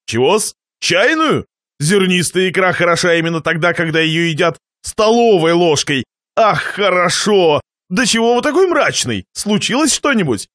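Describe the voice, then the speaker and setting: A man's voice: sits high, very animated, normal speed, fairly bright tone, no echo. A man voicing a jovial, gluttonous guest in a satirical story, pompous and shameless, savouring and lecturing expansively. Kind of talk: dramatised reading of a satirical short story.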